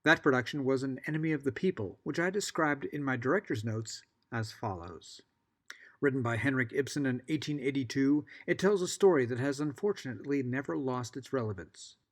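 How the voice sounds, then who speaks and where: A middle-aged American man giving a scripted podcast introduction, articulate and measured, with wry asides. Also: medium pitch. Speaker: podcast host.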